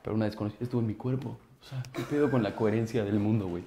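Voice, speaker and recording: Brief: male prank-show host, twenties, Mexican, rapid and playful delivery. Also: on the low side, steady tone, a crowd in the background. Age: 20s. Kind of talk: playful banter and prank phone calling. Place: small indoor studio room.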